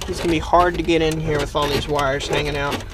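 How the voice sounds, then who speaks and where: A man's voice: a little high, level tone, fairly quick, background noise. A man hosting a casual hands-on how-to video, talking through each step and thinking aloud as he works inside a vehicle. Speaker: host of a how-to video channel. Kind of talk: casual hands-on tutorial narration. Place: inside or beside a parked vehicle.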